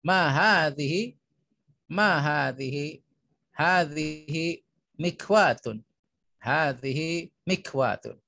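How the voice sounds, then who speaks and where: Indonesian man teaching, slightly high voice. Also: drawn-out words, pauses often, sharp tone, no room sound. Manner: instructional, repeats phrases for learners. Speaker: Arabic language teacher. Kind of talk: online lesson, explaining and reading aloud.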